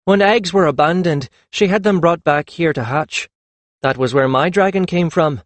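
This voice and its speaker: A man voicing a shy, quiet young woman dragon rider, speaking slowly in a raised, softened voice. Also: on the high side, no room sound, pitched above the performer's own voice, lightly acted. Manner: quiet, slow.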